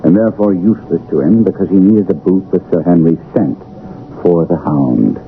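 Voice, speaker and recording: An actor playing a confident, smug master detective in a radio mystery drama, explaining things with self-assurance. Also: male voice, low-pitched, normal speed, faint music behind. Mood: smug, amused. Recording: thin, tinny old radio broadcast.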